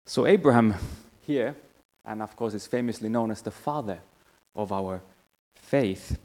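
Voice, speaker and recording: A man preaches in a fairly low voice with a Finnish accent. The recording carries the long reverb of a church hall as he delivers his sermon. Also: unhurried, darkish tone. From Finland. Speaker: church member preaching, theological college staff. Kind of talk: sermon with scripture reading and prayer. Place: church hall, speaking to a congregation.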